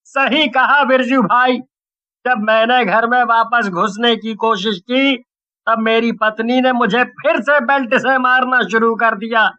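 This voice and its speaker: Man playing an exasperated husband, high bright voice. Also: fairly even intonation, measured pace, lo-fi sound, full character voice. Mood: bewildered. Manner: indignant, pleading, dramatic. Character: exasperated village husband beaten by his wife. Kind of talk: Hindi animated story dialogue.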